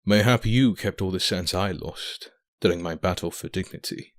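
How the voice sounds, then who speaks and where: Man playing a deep-voiced, proud, weary old king. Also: moderate pace, close to an everyday voice. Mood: wry, fond. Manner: formal, archaic, courtly phrasing. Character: proud, weary, stubborn aging king and affectionate father. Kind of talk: audio drama acting.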